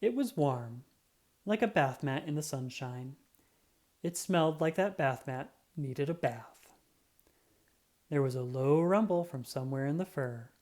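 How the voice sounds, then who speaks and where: Kids book read aloud, medium pitch voice that moves up and down a lot, dramatic, cat detective narrator full of himself. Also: measured pace. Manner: expressive, dramatic, acts out sound effects. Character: self-important cat detective telling his tale. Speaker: storybook narrator.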